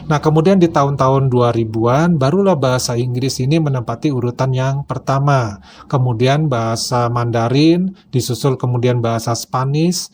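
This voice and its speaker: A man's voice: medium pitch, darkish tone, slight background noise. A man hosting a video, giving casual explanatory commentary. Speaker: host of a reaction video channel.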